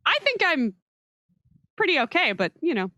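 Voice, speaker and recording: A woman takes part in a tabletop roleplaying game, moving casually between describing actions and talking in character. Her voice is bright and crisp in tone, with a dry, close sound. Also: high voice, very animated, moderate pace, dry recording.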